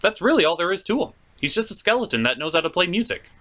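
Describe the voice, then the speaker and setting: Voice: medium pitch, sing-song, fast, pushed and bright. A host doing a scripted comedic video review in an opinionated, sarcastic, mock-exasperated way.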